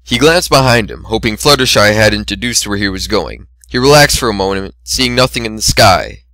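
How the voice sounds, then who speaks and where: A man's voice: on the low side, expressive, moderate pace, bright, forceful tone, no echo, rough recording. A man narrating a story as an amateur dramatic reading of fan fiction.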